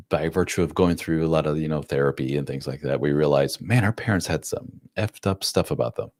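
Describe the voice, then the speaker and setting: A man nearing fifty talks through viewer questions as a relationship coach, loose and conversational. His voice sits very low, and the sound is close and dry, with almost no room around it. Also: darkish tone, dry recording. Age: 40s. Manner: casual, plain-spoken, acts out quotes in a raised voice. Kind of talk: live-stream Q&A.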